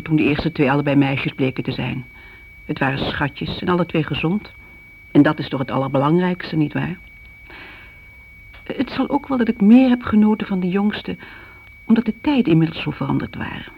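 A woman's voice: low-pitched, vocal fry. An actress playing a middle-aged mother in a radio play, reminiscing in a chatty, confiding way, fond yet reproachful. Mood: fond, reflective. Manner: chatty, confiding, seeking agreement. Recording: muffled old radio recording, faint hiss.

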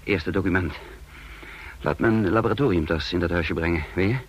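A man's voice: low voice, a little fast, husky. An actor playing a tense, wounded secret agent who narrates his own mission in a radio drama. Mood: businesslike, strained. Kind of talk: radio drama acting and first-person narration.

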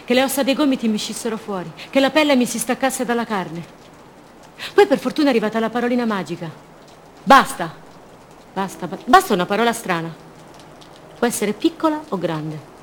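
A woman's voice: fairly high pitch, expressive, fairly quick, long pauses, a bit bright, slight background noise. An actress playing a fragile, haunted captive woman in a radio drama, speaking in short fragments and childlike repetitions. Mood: withdrawn, pained, then childlike, brittle. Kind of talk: theatrical monologue, radio drama.

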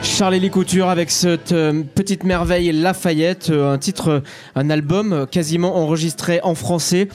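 A man with a somewhat high voice presents a music programme. He introduces a record and then asks his guest questions, stumbling over his words. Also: fairly even intonation, normal speed, darkish tone. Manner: hesitant, with many fillers when addressing the guest. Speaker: radio host. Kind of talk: presenting music between songs.

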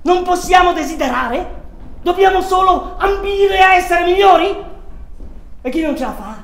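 A woman's voice: very high voice, expressive, normal speed. An actress playing an anguished, defiant woman in an impassioned, questioning stage style. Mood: defiant, pleading. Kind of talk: theatrical stage acting. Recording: roomy echo, faint music.

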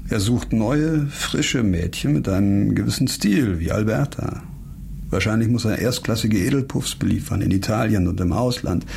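An actor playing a driven, blunt male investigator in a radio crime drama, giving orders gruffly and impatiently. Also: fairly low pitch, lively intonation, normal speed, a little mellow, a little hiss or hum. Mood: measured, instructing. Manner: commanding, blunt.

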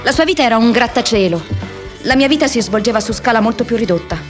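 An actress playing a restless, wry young American woman activist in an expressive, introspective radio drama monologue. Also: fairly high pitch, fast, music in the background, lightly acted. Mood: wistful, reflective. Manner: expressive, introspective, shifts between playful and wistful.

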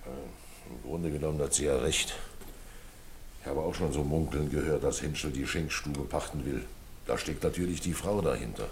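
An actor playing a world-weary, self-mocking older former stage player in a radio drama, with a theatrical, resigned manner. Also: male voice, extremely low pitch, normal speed, slight background noise. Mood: weary, placating. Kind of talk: naturalistic radio drama acting.

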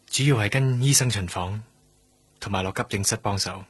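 A man's voice: fairly low pitch, moderate pace, breathy edge, no echo. An actor with a Hong Kong Cantonese accent playing a young trainee doctor in a radio drama, guarded, evasive and defensive in his answers. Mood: guarded, terse.

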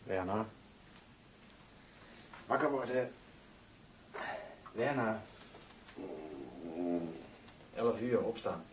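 An actor playing a sober, streetwise bodyguard with a blunt, matter-of-fact way of talking in a radio drama. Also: male voice, on the low side, slow, distant mic, slight background noise, poor audio. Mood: dry, brisk. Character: sober, streetwise male bodyguard and loyal undercover partner. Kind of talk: Dutch radio drama acting.

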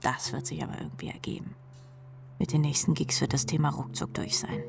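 A sardonic rock drummer thinking aloud in a radio drama. Her voice is low and gravelly, slipping into a breathy murmur, cool and deadpan, with music clearly behind it. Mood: dry, resigned. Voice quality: breathy, half-whispered, creaky. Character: wry, cool female rock drummer narrating her troubles. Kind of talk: intimate inner-monologue narration in radio drama.

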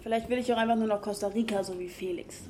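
A woman's voice: on the high side, steady tone, very fast, noisy background, lightly acted. An actress in her twenties playing a frustrated, disillusioned young graduate in naturalistic radio drama, arguing and questioning. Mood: subdued, insistent. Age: 20s. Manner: argumentative, questioning.

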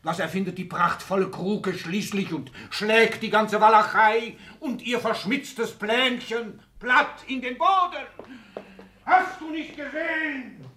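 An actor playing a raging, gloating old tycoon father in a theatrical 1960s German radio play, ranting from gasps to shouts. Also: high-pitched, takes it slowly, heavily acted. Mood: gloating, mocking. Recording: echoey room, distant from microphone.

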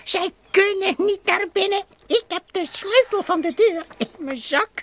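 Een vrouw die in een hoorspel voor kinderen een klein, koppig en nieuwsgierig buitenaards wezentje speelt, met uitgeroepen onzinwoordjes en wat stijve zinnen. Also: female voice, very high voice, animated, a little slow, fairly bright tone, heavily acted. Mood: eager, delighted. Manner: exclaims repeatedly in nonsense catchwords, slightly stilted phrasing. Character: small eager childlike alien creature, curious and stubborn. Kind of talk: children's radio play acting. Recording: old radio play recording.

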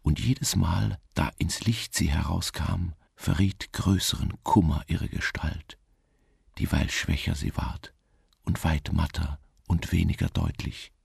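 A man narrating a literary radio drama in a slow, somber, measured way, with a gravelly, husky voice. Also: deep voice, fairly even intonation, darkish tone, dry recording, studio quality.

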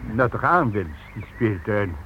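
A man acting in a radio drama as a wealthy, formal, self-important titled gentleman who speaks in measured, oratorical phrases. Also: fairly low pitch, very animated. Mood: courteous, condescending. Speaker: actor. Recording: muffled, slightly noisy old radio recording.

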